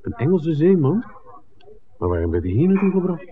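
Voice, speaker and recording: A man's voice: a little high, very animated, moderate pace. An actor playing an observant, discreet film-maker in a 1960s radio play, conversational and alert as he speculates. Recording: tinny, hissy 1960s radio recording.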